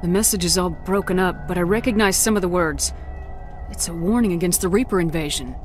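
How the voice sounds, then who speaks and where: Calm, direct commander voiced by a woman, lowish voice, music under. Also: fairly quick, natural voice. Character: female military commander, calm and steady. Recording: game audio with music.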